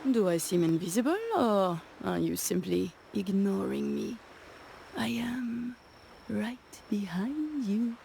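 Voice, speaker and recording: An actress playing an elegant, teasing mask-shop madame in a video game, with a coy, theatrical manner. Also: female voice, mid-range voice, gravelly, mellow, a little hiss or hum. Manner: coy, drawn-out, playful. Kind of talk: video game character acting.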